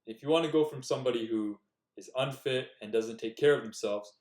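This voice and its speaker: A man's voice: medium pitch, fairly quick, darkish tone. A young man giving earnest, direct self-improvement advice in a casual talk to the camera. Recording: echoey room.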